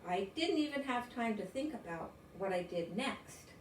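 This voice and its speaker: An older woman from the United States giving an expressive read-aloud of a children's story. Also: on the high side, moderate pace. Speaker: storybook reader. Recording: roomy, distant mic, faint noise.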